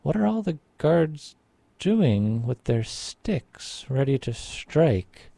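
A man voicing an earnest, excitable male merchant in a told folk tale, speaking in eager, emphatic exclamations. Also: a little high, slow, gravelly, dry recording, voice pushed up, exaggerated voice.

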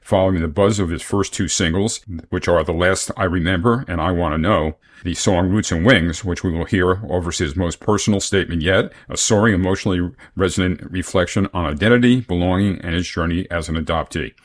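A man's voice: deep voice, brisk, hardly any pauses. A man hosting a radio music show, reading album notes and reviews from a script.

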